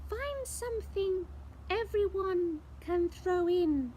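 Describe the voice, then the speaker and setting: A woman voicing an excitable, emphatic witch in a storybook reading. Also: very high voice, slow, slight background noise, voice pushed up, full character voice. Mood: eager. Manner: exclaiming, emphatic. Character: excitable, grateful female witch.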